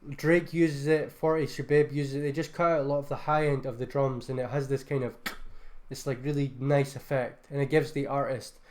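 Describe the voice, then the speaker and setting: A man's voice: medium pitch, little pitch movement, normal speed, darkish tone. A man, a music producer, reviewing beats in a casual livestream, laid-back and enthusiastic, loose with fillers. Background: faint beat music.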